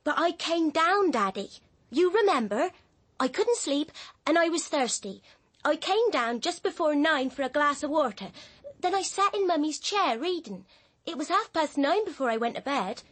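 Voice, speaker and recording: An actress playing an anxious, coaxing young daughter in a radio drama. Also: female voice, sits high, expressive, normal speed, a bit bright.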